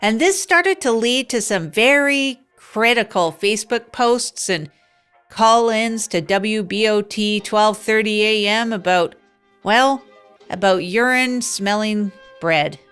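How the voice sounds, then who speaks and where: Rambling, deadpan woman radio host. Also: medium pitch, takes it slowly, smooth, soft background music. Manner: rambling, self-deprecating, deadpan. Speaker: radio show host character. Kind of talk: improvised comedy radio monologue.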